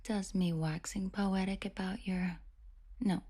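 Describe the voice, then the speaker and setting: Woman playing a breathy, teasing villainess lover. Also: mid-range voice, steady tone, slow pace, mellow. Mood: playful, teasing. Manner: intimate, teasing, sweet-talking with breathy whispers. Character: tall, confident villainess, teasing, doting, protective lover. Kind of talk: scripted romantic audio roleplay.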